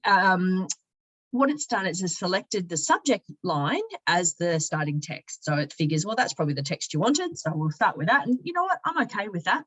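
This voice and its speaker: A woman presenting a casual, chatty software tutorial in an upbeat, informal manner, walking through it step by step. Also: on the low side, lively intonation, moderate pace, husky, rough recording. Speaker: presenter giving a software demonstration.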